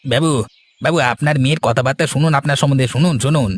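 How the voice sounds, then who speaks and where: Man acting a fast-talking, sly village schemer. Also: mid-range voice, slight background noise, lightly acted. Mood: urgent, fawning. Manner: eager, conspiratorial. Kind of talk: Bengali cartoon voice acting.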